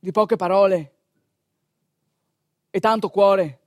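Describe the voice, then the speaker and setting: A woman actress telling a story as a theatrical monologue, expressive, colloquial and confiding, with a northeastern Italian accent. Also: mid-range voice, slow pace, no room sound.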